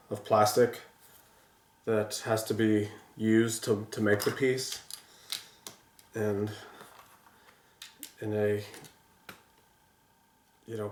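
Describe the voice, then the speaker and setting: Vlogger, male, stuffy sinus voice, loose rambling chat, lowish pitch, roomy indoor sound. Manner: rambling, frequent restarts and fillers. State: congested from a sinus infection. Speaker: vlogger building toy sets. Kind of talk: casual chat while building.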